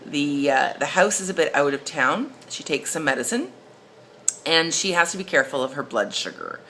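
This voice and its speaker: A very deep-voiced woman presents a recorded lesson. Her delivery is bright and lively, with emphatic stresses and an informal, explanatory way of talking. There is faint noise behind her. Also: moderate pace. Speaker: instructor. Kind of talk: recorded lesson, case study narration.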